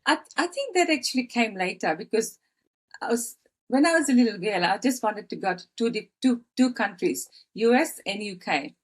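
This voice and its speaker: A woman in her fifties from Fiji, telling her life story as a podcast guest with many pauses, fillers and restarts. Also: a little high, lively intonation, breathy edge. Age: 50s. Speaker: guest, author. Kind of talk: personal storytelling in interview.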